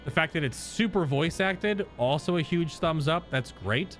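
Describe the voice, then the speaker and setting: Higher-pitched man doing game commentary over music. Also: lively intonation, moderate pace, fairly bright tone. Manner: informal, sweary, joking. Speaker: game streamer reacting to a story playthrough. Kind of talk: casual reaction commentary.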